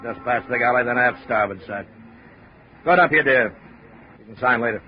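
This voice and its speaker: An actor playing a bulky, surly chief officer of a freighter in a radio drama, curt and offhand. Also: male voice, medium pitch, normal speed, close to an everyday voice. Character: bulky, gruff, lazy male ship's chief officer. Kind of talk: 1950s radio drama acting. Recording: muffled, noisy old radio recording.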